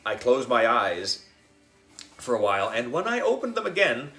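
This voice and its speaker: Guy reading a kids book out loud, really lively and playful, bit of room echo. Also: medium pitch, moderate pace, gravelly, soft background music. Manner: expressive, dramatic, playful. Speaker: audiobook reader. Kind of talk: animated scripted narration of a children's story, reading as a young boy narrator.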